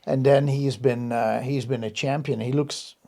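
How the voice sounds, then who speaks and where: Male host, gravelly and mellow, agreeable, chatty. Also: medium pitch, steady tone, moderate pace. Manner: affirming, lots of backchannel agreement. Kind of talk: casual interview talk.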